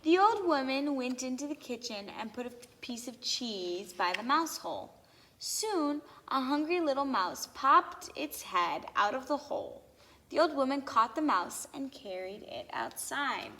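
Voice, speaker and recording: A woman reading a children's story aloud with expressive, theatrical emphasis on lists and sound words. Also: high voice, measured pace. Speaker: storybook reader. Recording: long hall-like reverb.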